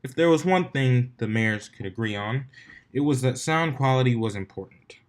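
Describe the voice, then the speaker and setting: Male story reader, middle-pitched, expressive narration, informal and unpolished with audible self-corrections. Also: moderate pace. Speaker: fan fiction reader. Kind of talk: narrated story reading with character voices.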